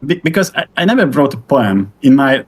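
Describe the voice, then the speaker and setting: A middle-aged man with a Hungarian accent, a native Hungarian speaker, talking haltingly in English on a video call and searching for words with many fillers. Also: mid-range voice, big pitch swings, slightly rough. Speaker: guest musician. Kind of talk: casual conversation.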